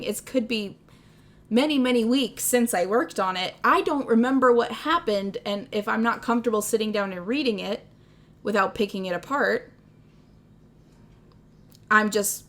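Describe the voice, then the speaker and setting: A woman's voice: mid-range voice, moderate pace, long pauses, a bit bright. A young woman with a Midwestern accent, chatting casually on a livestream, rambling and self-deprecating, laughing often. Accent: Midwestern American. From American Midwest. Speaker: streamer.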